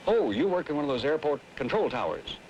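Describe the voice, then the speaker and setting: A man's voice: a little high, big pitch swings, fairly quick, darkish tone, lo-fi sound. An American man acting as a chatty, inquisitive frequent flyer in an old educational film, with a folksy manner. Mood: curious. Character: curious, chatty male frequent flyer, easily impressed. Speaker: actor as airline passenger. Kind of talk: scripted 1960s educational film dialogue. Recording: old 1960s film soundtrack, faint noise.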